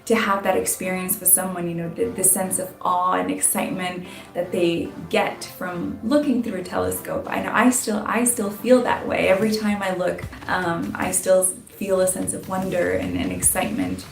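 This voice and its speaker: A young woman scientist with a gravelly edge to her voice speaks with enthusiasm, often repeating words as she talks. She sounds distant from the mic, in an echoey room. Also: lowish voice, steady tone, moderate pace, few pauses, soft background music. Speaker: planetary scientist, doctoral student.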